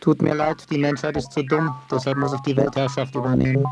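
A man voicing a cold, mechanical computer in a radio feature, creaky and flat in delivery. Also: mid-range voice, a little fast, over music, close to an everyday voice. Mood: coldly megalomaniac. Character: robotic computer coldly announcing errors and world takeover.

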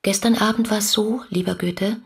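An actress playing an ardent young woman in love, narrating her letters in a breathy, dreamy voice in a German radio play. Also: medium pitch, fairly even intonation, normal speed, darkish tone. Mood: yearning. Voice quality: breathy, often near whispering.

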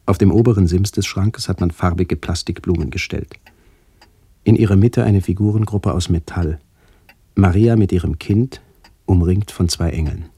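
A man playing a somber first-person narrator in a literary radio play, speaking in a measured, descriptive way. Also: low-pitched, dark tone, breathy edge, no echo. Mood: somber, contemplative. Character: somber male first-person narrator remembering his aged aunts.

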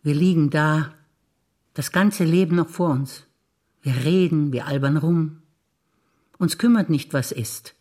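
An older woman performing scripted stage dialogue, wry and teasing in quick exchanges. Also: low-pitched, warm tone. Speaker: stage actor.

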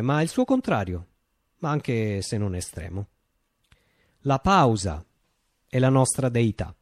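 A man's voice: mid-range voice, a little mellow, no echo, studio quality. Man acting a declamatory, visionary revolutionary leader, swooping intonation. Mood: solemn, visionary. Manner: declamatory, with deliberate dramatic pauses. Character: visionary utopian revolutionary leader, poetic and grandiose. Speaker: actor performing a radio monologue. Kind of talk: theatrical radio drama monologue.

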